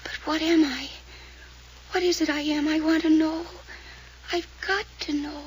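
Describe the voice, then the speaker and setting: A woman's voice: high voice, steady tone, a little slow, rough recording. An actress playing a fragile, earnest young woman, pleading and anxious, in the style of a 1940s radio serial. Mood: pleading, hurt. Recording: old radio recording with background noise.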